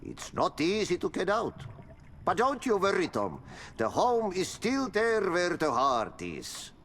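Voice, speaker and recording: An older, cryptic Finnish janitor from a video game. His cheerful, folksy delivery swings wildly up and down in pitch and takes its time. The voice rings in a long, cavernous reverb. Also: huge pitch swings, unhurried, fairly bright tone, slight background noise, heavily acted. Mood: musing, cryptic. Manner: folksy riddles, sing-song drawl.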